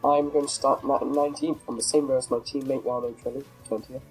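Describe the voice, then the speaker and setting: A man's voice: mid-range voice, flat delivery, quick pace, soft background music, low-quality recording. Nasal man with a cold. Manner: rambling, self-correcting, many fillers. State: has a cold, a bit nasal. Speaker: gameplay commentator. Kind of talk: casual racing game commentary.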